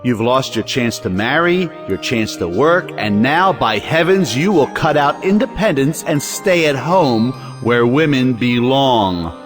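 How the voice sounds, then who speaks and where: An actor playing a domineering, hot-tempered moralizing brother in an audio play, commanding and blustering. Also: male voice, mid-range voice, lively intonation, measured pace, hardly any pauses, over music, lightly acted. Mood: stern, scolding. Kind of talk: audio play acting of an old stage drama.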